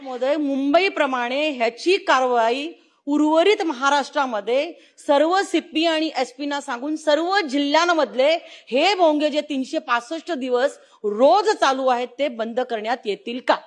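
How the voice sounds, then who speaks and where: A woman's voice: high voice, normal speed. A woman asking a formal question of a minister as a legislator in an assembly.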